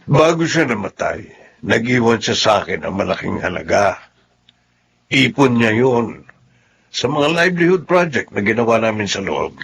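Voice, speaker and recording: Gravelly, sincere family friend. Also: male voice, lowish voice, sing-song, moderate pace, a bit bright, a little hiss or hum, exaggerated voice. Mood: earnest, glad. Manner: earnest. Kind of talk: radio drama acting.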